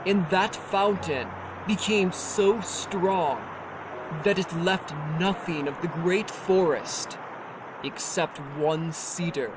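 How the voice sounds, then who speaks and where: A narrator reading a scripture audiobook in a solemn, measured, formal manner. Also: mid-range voice, noisy background. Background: music.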